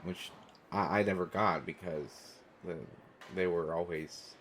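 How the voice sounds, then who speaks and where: A man's voice: deep voice, fairly even intonation, measured pace, a bit bright, a little hiss or hum. A man playing a shy, awkward, kind stranded traveler in an audio roleplay, hesitant, stammering and apologetic. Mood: awkward, earnest.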